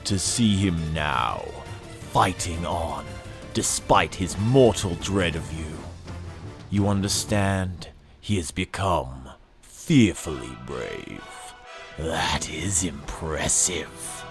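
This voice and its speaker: A man narrates dark fiction in a very deep voice. His delivery is slow, brooding and theatrical, with deliberate phrasing. Music plays behind the reading. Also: animated. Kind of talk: dramatic second-person audiobook narration. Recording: voice over background music. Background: dramatic music.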